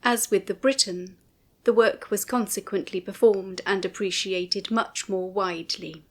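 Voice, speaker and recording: Lady reading out a background commentary, really unhurried, formal and precise, mid pitch. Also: fairly even intonation. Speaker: narrator. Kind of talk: scripted documentary commentary, read aloud.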